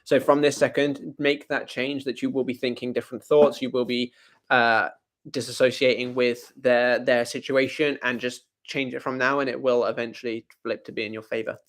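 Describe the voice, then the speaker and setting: A man's voice: mid-range voice, flat delivery, normal speed. A young man hosting a live Q&A, reading out viewer comments and answering them in a casual, rambling way that often corrects itself. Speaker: host.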